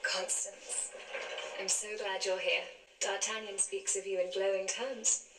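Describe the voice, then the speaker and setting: A woman's voice: medium pitch, level tone, measured pace, music in the background, lightly acted. An actress playing a gracious, composed young queen in a period television drama. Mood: gracious, formal. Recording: roomy, distant TV drama sound.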